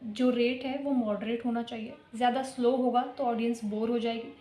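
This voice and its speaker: A woman's voice: fairly high pitch, steady tone, normal speed, dark tone, reverberant. A young woman teaching an exam-prep lecture, explaining each point through lists of examples and switching between two languages mid-sentence. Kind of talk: exam-prep lecture mixing Hindi and English.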